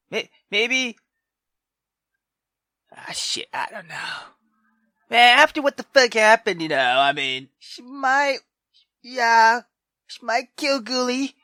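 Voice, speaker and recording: A man reading a visual novel aloud as a let's player, expressive and informal. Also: sits high, no echo, studio quality.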